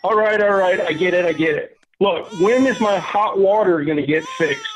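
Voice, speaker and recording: A woman's voice: lowish voice, moderate pace, noisy background, natural voice. A woman playing an aggrieved, exasperated mother and tenant in an amateur radio play, protesting. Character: exasperated young mother, a put-upon tenant.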